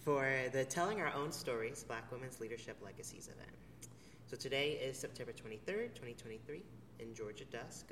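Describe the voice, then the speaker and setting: A man conducting an oral history interview: he reads a formal introduction, then asks gentle, measured questions. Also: medium pitch. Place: theater venue. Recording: distant mic in a roomy, noisy hall.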